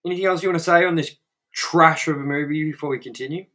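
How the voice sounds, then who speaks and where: A man's voice: a little high, quick pace. A young man co-hosting a movie review show, talking bluntly and casually with plenty of swearing. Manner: blunt, profane and animated. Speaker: co-host.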